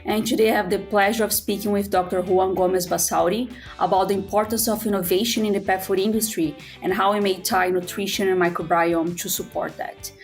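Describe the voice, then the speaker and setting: A woman's voice: medium pitch, steady tone, brisk, hardly any pauses, soft background music. A woman hosting an interview podcast in a conversational style. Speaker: podcast host.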